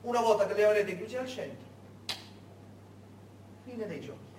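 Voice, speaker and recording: An actor playing a brisk, smugly commanding German major who gives his officers clipped orders and prods them with check questions, as in a stage monologue. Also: sits high, a little mellow, natural voice. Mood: coolly menacing. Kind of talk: theatrical monologue acting. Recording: echoey room, distant mic, faint noise.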